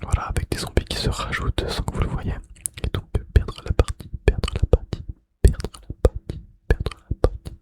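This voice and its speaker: A man whispering in a breathy, unvoiced voice with mouth sounds, in an ASMR style, softly repeating phrases. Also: moderate pace. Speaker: ASMR video creator and host.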